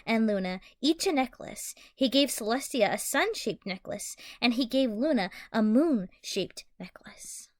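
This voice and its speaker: A woman's voice: on the high side, expressive, measured pace, few pauses, no echo, studio quality. A woman reading scripted story narration for a fan fiction audio play.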